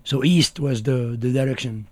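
A man's voice: medium pitch, moderate pace, darkish tone, dry recording. A middle-aged man with a French accent, a guest in a casual interview, talking bluntly in short, broken phrases. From France.

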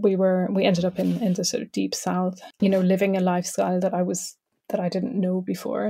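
A woman's voice: lowish voice, creaky, mellow. A woman artist talking reflectively in an interview, with lots of hesitations and filler words. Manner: reflective, hesitant, many ums. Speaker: artist guest. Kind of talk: conversational interview.

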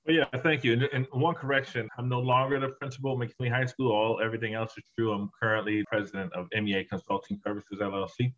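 A man's voice: medium pitch, steady tone, fast, few pauses, a bit bright, lo-fi sound. A man, a guest author and education consultant, answering interview questions emphatically, his voice rising when he stresses a point. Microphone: call audio.

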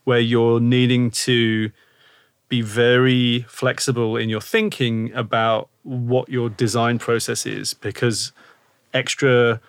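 Male podcast host, mid-pitch, loose rambling talk, dry close mic. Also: level tone, takes it slowly, clear, resonant tone, no room sound. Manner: rambling, thinks aloud with many "like" and "kind of". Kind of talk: casual podcast conversation.

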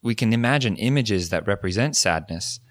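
A man's voice: lowish voice, expressive, moderate pace, dry recording, clean studio sound. A man co-hosting a podcast on language learning, explaining ideas in a thoughtful, casual way with frequent restarts. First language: English. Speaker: podcast co-host. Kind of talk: casual educational chat.

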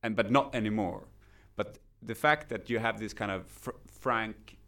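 A middle-aged man from Sweden, a native Swedish speaker, chatting on a podcast as a guest lecturer in a measured, dry, witty way. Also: on the low side, a bit bright. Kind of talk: casual podcast conversation.

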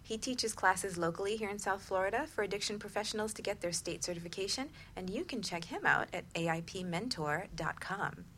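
Bright-voiced woman hosting radio. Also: medium pitch, fairly quick, few pauses, a little hiss or hum. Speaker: radio show host. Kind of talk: warm radio talk show sign-off.

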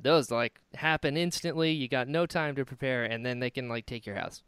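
A man's voice: mid-range voice, a little fast, a bit bright, clean tone, dry recording. An American man from Florida hosting a podcast in an enthusiastic, effusive, casual way. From Florida, United States. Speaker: podcast host.

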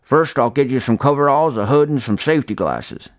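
A man voicing a fat old rural homeowner, gruff and blunt, with a folksy way of talking. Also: medium pitch, sing-song, brisk, no echo, clean studio sound, voice pushed up. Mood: cautioning.

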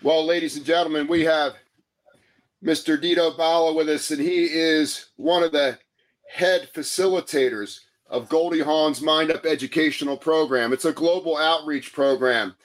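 A man hosting an interview, who also coaches and leads a guided breathing exercise, speaking in a calm, measured, soothing way. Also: on the high side. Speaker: host, coach.

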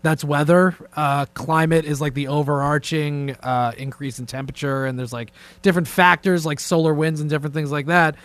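Guy with a high-ish voice hosting a loose sweary podcast, dry close sound. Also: little pitch movement, fairly quick, few pauses, a bit bright, no room sound. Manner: informal, joking, takes charge of the talk. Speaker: podcast host. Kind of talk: casual profane talk show chat. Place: indoors, remote conference call set up with camera.

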